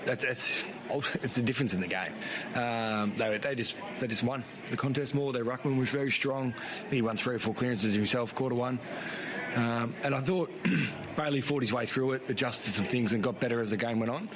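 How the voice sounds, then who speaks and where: A hoarse man, a head coach answering post-match press questions frankly, with many fillers and restarts. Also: mid-range voice, normal speed, noisy background.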